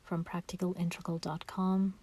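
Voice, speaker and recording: Soft, mellow woman guiding meditation. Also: on the low side, level tone, slightly rough. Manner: gentle, slow and soothing, with pauses. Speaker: meditation guide. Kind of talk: guided meditation instruction.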